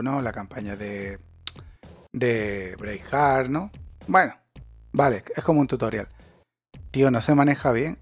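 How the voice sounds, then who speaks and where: A man's voice: mid-range voice, slightly rough. A man, ranting sarcastically and swearing in a casual chat as a podcast co-host. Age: 40s.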